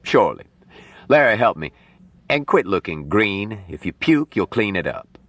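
A gruff old doctor in an audiobook, acted out by a male narrator. He is low-pitched and bossy, with curt commands and sardonic wisecracks in a lively, swinging delivery. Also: moderate pace, dry recording. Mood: matter-of-fact.

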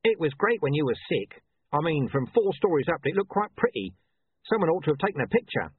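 A man's voice: fairly high pitch, big pitch swings, fairly quick, slightly rough, no room sound, phone-quality sound, voice pushed up, full character voice. A man voicing a grubby, tactless city watchman who chats on eagerly in a storybook reading. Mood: eager, chatty. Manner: chatty, insensitive.

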